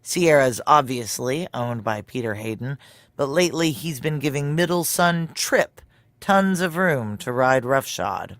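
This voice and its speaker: A man's voice: medium pitch, lively intonation, unhurried, a bit bright, no room sound. A man playing a wry, world-weary narrator in a noir audio drama, confiding in a dry, sardonic manner.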